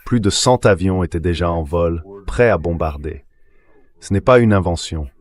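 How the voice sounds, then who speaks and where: A man's voice: low-pitched, moderate pace, a little mellow. A man reading a translated voice-over of political commentary, speaking assertively and emphatically, often with rhetorical questions. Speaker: interview guest, political commentator.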